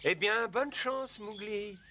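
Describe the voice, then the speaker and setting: A man voicing a wise, fussy panther mentor in an animated cartoon, with an articulate, lecturing manner. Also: high voice, takes it slowly, exaggerated voice. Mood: earnest. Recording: faint background noise.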